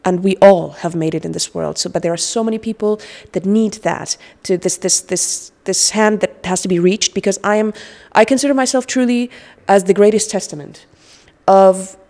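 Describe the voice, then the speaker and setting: A woman in her forties from Afghanistan giving earnest, halting interview remarks into a handheld microphone. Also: mid-range voice, dark tone, long reverb tail. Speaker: interview guest, humanitarian. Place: indoor lounge with sofas.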